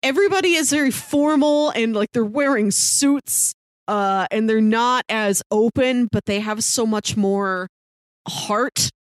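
A woman's voice: on the high side, a little slow, dry recording. A woman co-hosting a podcast, chatting casually about television with playful, wry commentary. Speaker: podcast co-host. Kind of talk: casual TV discussion chat.

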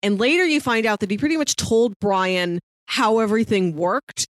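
Female podcast co-host, mid-range voice, dry studio-like sound. Casual, conversational, animated storyteller who acts out quotes. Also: mid-range voice, moderate pace, no room sound. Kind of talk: casual discussion of a TV series.

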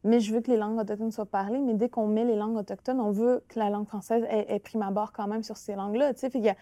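A woman with a Quebec French accent and Innu as her first language, a guest author speaking thoughtfully with many pauses and restarts in an interview. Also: medium pitch, fairly even intonation, mellow, no echo. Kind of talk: reflective conversational interview.

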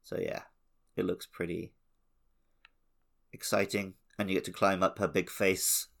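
Deep, creaky-voiced man chatting casually. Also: level tone, a little slow, fairly bright tone. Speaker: podcast co-host. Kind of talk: casual video game discussion.

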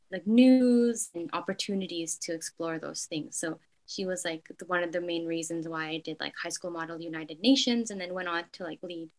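A woman's voice: lowish voice, rough recording. A young woman from Canada answering interview questions in a casual, reflective way, full of "like" fillers. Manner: frequent "like" fillers, soft and hesitant.

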